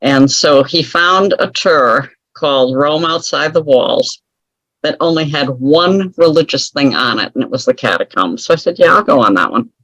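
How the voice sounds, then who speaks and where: A woman's voice: low voice, animated, normal speed, fairly bright tone, no echo, poor audio. A woman telling a personal story as an interview guest, chatty and wry, laughing often at her own remarks. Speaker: guest author.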